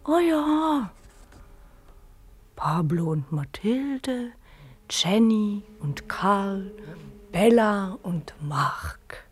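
Slow, dreamy watchwoman. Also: medium pitch, animated. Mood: musing. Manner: halting, musing, murmuring to herself. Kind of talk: German radio play acting.

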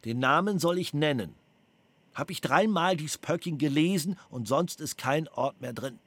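Man, interrogation suspect, defiant, repetitive, rather high voice, echoey tape. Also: lively intonation, moderate pace, a bit bright. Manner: agitated, defiant, keeps repeating himself.

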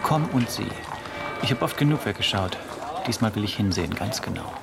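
An actor playing a wry, world-weary adventure companion in a radio drama, with a gravelly voice. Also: male voice, on the low side, expressive, fairly quick, music in the background. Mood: wry, resolved.

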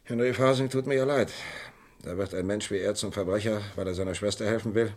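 A plain-spoken sergeant acted by a man, with a deep voice. He plays the puzzled sidekick in a vintage radio crime drama, asking down-to-earth questions. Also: brisk, close to an everyday voice. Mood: puzzled, curious. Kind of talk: 1970s German radio crime play acting.

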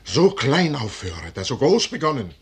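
An actor playing a proud, brooding army commander in a 1950s German radio drama, declaiming gravely and deliberately with a gravelly voice. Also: male voice, medium pitch, big pitch swings, close to an everyday voice. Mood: defiant, anguished. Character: proud, brooding male army commander torn before a fateful decision.

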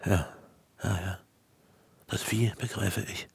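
An actor playing a weary, brooding man in a radio play, murmuring his thoughts in a husky, creaky voice. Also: sits low, steady tone, slow pace, exaggerated voice.

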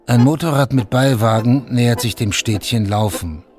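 A man's voice: mid-range voice, normal speed, darkish tone, soft background music. A man narrating a scripted radio drama in a sober, factual manner, in short plain statements.

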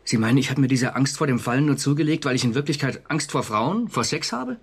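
Casting: nervous, dutiful office official in a radio play, mid-pitched, slightly husky, confiding his fears with agitation. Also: animated, fast talker. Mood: indignant. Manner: agitated, confessional. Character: anxious conscientious male civil servant with terror of falling. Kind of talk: German radio drama acting.

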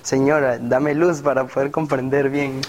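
A man's voice: mid-range voice, level tone, moderate pace, darkish tone, slight background noise. A young man from Mexico, a student panelist, speaking hesitantly with many fillers in a casual discussion. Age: young adult.

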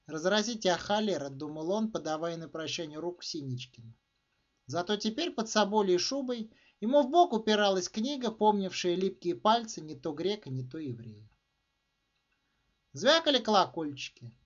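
A man reading his own literary short story aloud in a theatrical, expressive way, as an author narrating. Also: high voice, normal speed. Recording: dull, slightly muffled recording.

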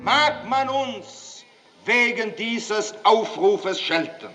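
An actor playing a solemn, impassioned post-war German parliamentarian, giving a formal speech in deliberate, oratorical phrases. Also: male voice, high voice, expressive, bright, forceful tone, distant mic, faint music behind, exaggerated voice. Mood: impassioned appeal. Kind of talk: formal political speech to an assembly. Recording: echoing hall from afar, faint noise.

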